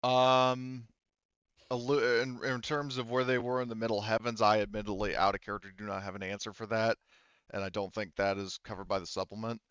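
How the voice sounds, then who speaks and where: A man's voice: on the low side, flat delivery, fairly quick, a bit bright, smooth, no room sound, highs cut off. A man acting as game master, narrating a tabletop game casually, explaining things and often interrupting himself as he goes. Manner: explanatory, hedging, self-interrupting.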